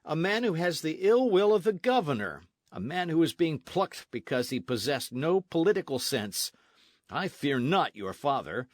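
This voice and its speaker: A man's voice: a little high, wildly swooping pitch, moderate pace, no room sound, studio quality, exaggerated voice. A man voicing an arrogant Spanish colonial army captain in a storybook, pompous, sneering and insistent. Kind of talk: storybook narration with character voices.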